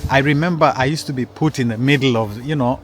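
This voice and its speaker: A man's voice: medium pitch, fast talker, soft background music. Male storyteller, mid-range voice, casual and digressive, keeps addressing listeners. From Kenya. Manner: rambling, with frequent restarts and asides to listeners. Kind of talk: casual personal storytelling to an audience.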